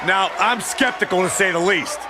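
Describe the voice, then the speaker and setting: An actor playing an excitable, indignant wrestling announcer, loudly calling the action as commentary in a video game. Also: male voice, high voice, normal speed, natural voice. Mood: skeptical. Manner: loud, projecting. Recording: noisy arena with crowd behind.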